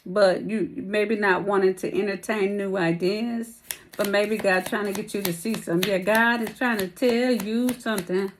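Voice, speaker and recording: A woman's voice: mid-range voice, fairly even intonation, normal speed, a little hiss or hum. A woman whose voice is wearing thin gives a loose, chatty tarot reading. She rambles from card to card in slangy, familiar talk and keeps calling her listeners baby and y'all. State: losing her voice. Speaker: tarot reader. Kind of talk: casual spiritual tarot reading.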